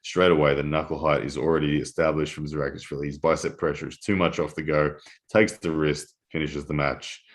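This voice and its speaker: A man commentating live on an arm-wrestling match, analytical and full of restarts, his voice going hoarse in the early morning. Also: very deep voice, level tone, brisk. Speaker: armwrestling commentator.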